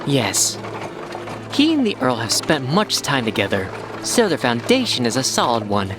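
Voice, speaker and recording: A woman's voice: extremely low pitch, huge pitch swings, normal speed, full character voice. A woman playing a genial, easygoing companion to aristocrats, courteous and leisurely, in an anime-style manga dub. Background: music.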